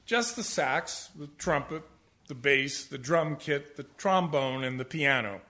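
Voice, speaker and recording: A man's voice: fairly high pitch, expressive, a little slow, pushed and bright. A man playing an aggrieved, washed-up older jazz musician in a comic radio play, grumbling theatrically and swelling into indignation. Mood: weary grumbling. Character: aggrieved, ranting, washed-up older jazzman, husband and father.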